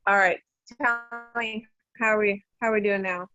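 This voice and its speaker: A woman's voice: medium pitch, fairly even intonation, normal speed, sharp tone, dull audio, soft background music. A woman on an online call giving an informal personal account as an organizer of a community group. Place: online call from a rural home.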